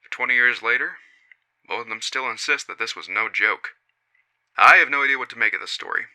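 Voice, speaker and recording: A man voicing a male witness who recounts his friends' strange encounter in a measured, puzzled and skeptical way. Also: mid-range voice, pushed and bright, poor audio. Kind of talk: first-person reading of a witness account.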